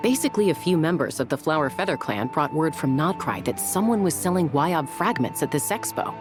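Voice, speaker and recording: Easygoing, practical game heroine, deep-ish female register, music behind. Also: expressive, brisk. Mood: casual, explanatory. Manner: easygoing, matter-of-fact. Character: cool-headed, casual female peacekeeper, laid-back and practical. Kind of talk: video game voice acting.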